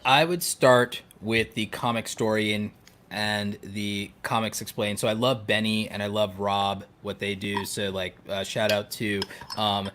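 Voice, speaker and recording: Low-voiced man talking through advice. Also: steady tone, a little slow, a bit bright, slight background noise. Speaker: podcast co-host and content creator. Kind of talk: casual podcast chat, giving advice.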